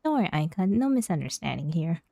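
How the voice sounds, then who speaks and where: Gushing woman streamer with a creaky voice. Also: low voice, very animated, fast, mellow, no echo. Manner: gushing, affectionate. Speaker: streamer reading along with a game. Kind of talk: casual gameplay commentary.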